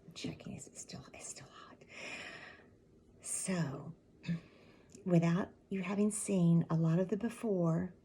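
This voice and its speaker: A middle-aged woman with a raspy, allergy-worn voice, chatting casually to her viewers in a rambling way, her words often trailing into a soft half-whisper. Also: fairly low pitch, fairly even intonation, a little slow, pauses often, slight background noise. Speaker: channel host. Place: at home with a mug of tea.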